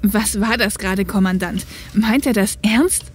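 Co-pilot in an audio drama, female actor: proud, cornered, defiant, mid-pitched. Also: unhurried, slightly rough. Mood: bewildered. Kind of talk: German audio drama acting.

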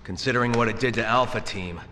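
A man's voice: medium pitch, fast talker, lightly acted. An American man playing a gritty, weary soldier in a dramatic video game cutscene. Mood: grim. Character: gritty, weary male soldier on a bioterror mission. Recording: loud noisy action-scene background.

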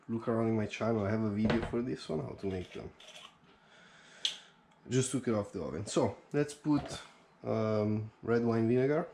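A young man chatting casually as he cooks in his home kitchen, thinking aloud with fillers. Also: fairly low pitch. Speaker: home cook vlogger. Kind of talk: casual cooking commentary. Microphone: head-mounted action camera. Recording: action camera audio with clear background noise.

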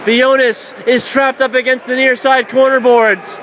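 A young man calls hockey play-by-play for college radio from a press box. His voice sits high and carries over loud arena noise. He reels off passes and shots quickly and lifts into shouts when goals go in. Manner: rapid excited calls rising to shouts on goals. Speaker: play-by-play announcer.

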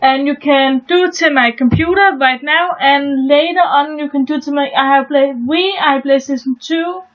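A young woman from Denmark with a Danish accent, chatting casually and haltingly as she shows a game on her new channel, restarting her sentences often. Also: high-pitched, dull audio. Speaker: gaming channel host. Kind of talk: casual gameplay commentary.

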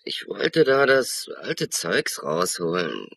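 An actor playing an evasive small-time burglar and vagrant in a German crime radio play, rough-voiced, defensive and colloquial. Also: male voice, low-pitched, wildly swooping pitch, slow pace, fairly bright tone, full character voice. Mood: evasive, grudging. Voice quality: husky, rough.

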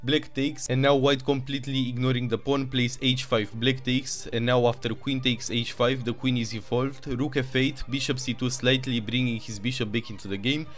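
A man with a Romanian accent narrating chess games move by move, with excited asides. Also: medium pitch, normal speed, hardly any pauses. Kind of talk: chess game commentary.

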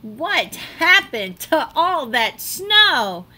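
A middle-aged woman reads a children's picture book aloud like a teacher, dramatically and expressively, drawing out words. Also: high voice. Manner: animated, dramatic delivery with drawn-out words. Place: small classroom, indoors.

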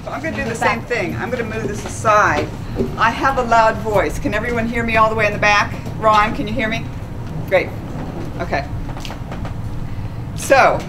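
A woman in her sixties reading her own essay aloud at a live event, slow and measured. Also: fairly high pitch, heavy background noise. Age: 60s. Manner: slow, deliberate, measured delivery. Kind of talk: literary reading. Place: small stage in a dark club.

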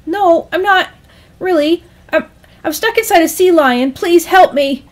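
A woman's voice: extremely high pitch, measured pace, pitched above the performer's own voice. A woman voicing a nervous, pleading young man in a storybook tale, with a whiny manner. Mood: panicked whine. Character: panicked, pleading young man trapped inside sea lion. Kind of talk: acted storytelling dialogue.

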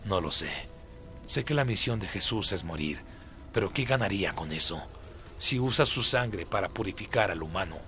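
A man's voice: low-pitched, animated, moderate pace, bright, forceful tone, soft background music, pitched below the performer's own voice. A man voicing a proud, scornful fallen angel who muses darkly in a radio drama. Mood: brooding, scornful. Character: Lucifer, brooding proud male fallen angel.

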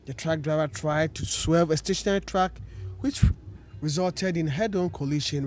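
A male news reporter reads a voice-over report. His voice sits somewhat high, and he reads in a steady, formal manner.

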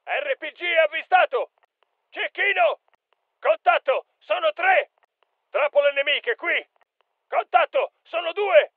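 An actor playing an urgent special forces soldier in a video game, giving clipped, emphatic callouts. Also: male voice, extremely high pitch, lively intonation, takes it slowly, long pauses, no room sound, narrow, tinny audio, full character voice. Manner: clipped, emphatic military delivery. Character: urgent male special forces soldier calling out battlefield alerts.

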